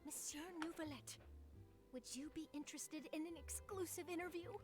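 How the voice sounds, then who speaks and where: Woman playing a high, excitable young reporter. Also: moderate pace, faint music behind, natural voice. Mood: eager. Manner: perky, polite, excitable. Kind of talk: video game voice acting.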